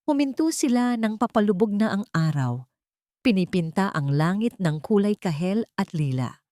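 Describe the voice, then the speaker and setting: A woman narrating a scripted dramatic story in a measured, sentimental way, in short phrases. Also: medium pitch, lively intonation, warm tone, no room sound, clean studio sound.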